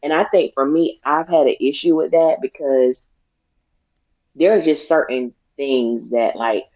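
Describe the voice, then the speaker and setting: Raspy woman co-host, dull lo-fi sound. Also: very deep voice, unhurried, warm tone, dry recording. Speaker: podcast co-host. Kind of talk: casual discussion.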